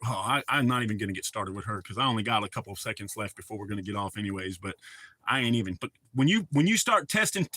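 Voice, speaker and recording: Bright-voiced man ranting with heavy profanity. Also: lowish voice, animated, quick pace. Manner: profane, rambling, heated storytelling. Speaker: livestream host. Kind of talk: casual ranting chat.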